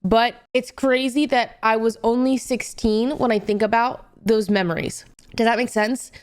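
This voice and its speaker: A young woman in her late twenties with a high, very clear and smooth voice. She chats informally like a livestreamer thinking out loud, using slang and leaning on words for emphasis. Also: steady tone, normal speed. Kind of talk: casual rambling chat to stream viewers.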